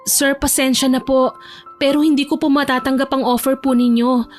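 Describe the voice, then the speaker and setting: Woman playing a polite young woman, highish voice. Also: flat delivery, moderate pace, soft background music, lightly acted. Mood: humble, earnest. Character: humble, polite, principled young working woman. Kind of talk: Tagalog audio drama acting.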